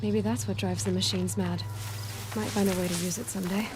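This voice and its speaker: An actress playing a determined young huntress who speaks in a direct, practical and sceptical way. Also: female voice, mid-range voice, steady tone, fairly quick, over music, lightly acted.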